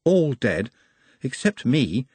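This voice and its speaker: A man voicing a gentle, polite, sorrowful orc who speaks in a formal, apologetic manner in an audiobook. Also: medium pitch, very animated, slow pace, husky, no echo, exaggerated voice.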